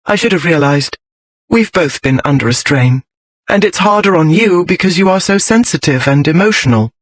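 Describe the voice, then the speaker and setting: A man's voice: fairly high pitch, animated, moderate pace, dry recording, lightly acted. A man voicing a strong-willed, outspoken young lady in an audiobook, with forthright, emphatic period speech. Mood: soothing, teasing. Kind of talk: audiobook character voice.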